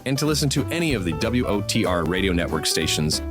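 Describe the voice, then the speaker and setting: A man's voice: low-pitched, very animated, quick pace, music in the background. A man reading a scripted promotional outro as an announcer.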